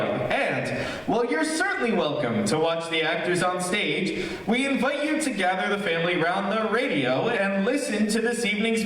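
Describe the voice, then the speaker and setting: A man's high voice rings through a very echoey theater, picked up from some distance. He is hosting a staged old-time radio show, reading a scripted, theatrical introduction. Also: a bit bright. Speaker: host and announcer. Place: theater stage, live stream.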